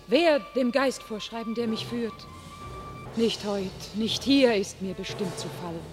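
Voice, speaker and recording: A woman's voice: on the high side, a little slow, close to an everyday voice. A woman playing a fervent young warrior maiden. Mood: defiant. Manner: solemn verse declamation, sinking to a whisper. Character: young female warrior prophet, fervent and commanding. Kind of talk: classic radio play acting. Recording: radio drama with faint music.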